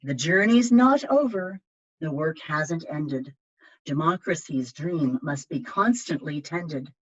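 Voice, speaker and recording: Clear-voiced lady doing a kids storytime read, really animated, bit lo-fi sound. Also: low-pitched, slow. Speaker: library storytime reader. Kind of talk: expressive read-aloud of children's picture books.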